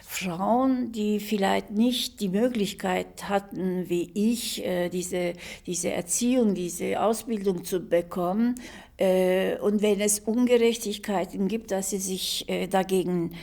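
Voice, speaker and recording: A woman in her seventies giving an interview answer, slow and halting with many pauses. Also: medium pitch, fairly even intonation, vocal fry, mellow. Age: 70s. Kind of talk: reflective interview clip.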